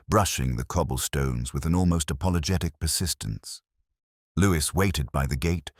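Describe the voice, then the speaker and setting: A man playing a melancholy middle-aged English police detective, narrating in a measured, literary and wistful way as if from a notebook. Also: very deep voice, dry recording, studio quality. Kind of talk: scripted first-person dramatic narration.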